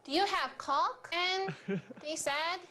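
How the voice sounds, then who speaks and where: A woman's voice: extremely high pitch, slow, crowd noise. A woman giving an instructional language lesson as a teacher, repeating words and short example phrases. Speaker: English teacher.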